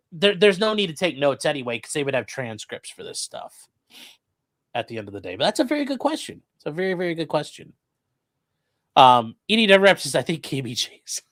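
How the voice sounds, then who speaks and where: A man's voice: a little high, a bit bright, no echo, studio quality. A man hosting a live stream, reading out viewer questions and giving casual legal commentary with wry, joking asides.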